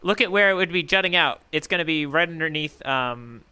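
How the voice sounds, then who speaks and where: A man with a very bright, forceful voice and a smooth, crisp tone chats casually as a podcast co-host. His pitch sits a little high, and he thinks aloud as he talks. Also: fairly quick. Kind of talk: casual chat, manga readalong discussion.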